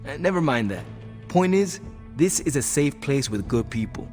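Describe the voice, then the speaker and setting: A man acts out a friendly young American hero in a video game, in a medium-pitched voice. He talks casually and streetwise, with an easygoing, reassuring manner. Music runs behind him. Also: lively intonation, moderate pace, darkish tone, close to an everyday voice. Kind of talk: video game cutscene acting.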